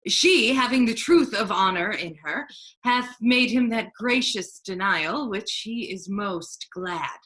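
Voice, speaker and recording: A woman playing a disguised duke posing as a grave, counseling friar, in measured, formal Shakespearean verse. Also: on the low side, pushed and bright, exaggerated voice. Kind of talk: staged reading of Shakespeare.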